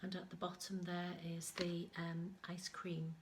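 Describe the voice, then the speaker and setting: A woman reading a picture book aloud to young children, expressively, pausing to explain things as an infant school storyteller. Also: lowish voice, completely flat delivery, noisy background.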